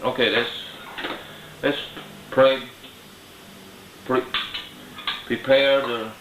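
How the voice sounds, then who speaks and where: Guy doing a diy repair demo, really slow n careful, roomy echo, bit of noise. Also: medium pitch, little pitch movement. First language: not English. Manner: halting, careful, step-by-step. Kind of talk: unscripted how-to narration. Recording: echoey room, light noise, clicks.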